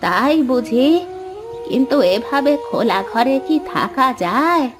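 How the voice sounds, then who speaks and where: Actress as elderly beggar woman, cartoon fable, very slow, fairly high pitch, kindly, folksy, soothing. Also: expressive. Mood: grateful, curious. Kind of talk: cartoon moral-story dialogue. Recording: music behind the voice.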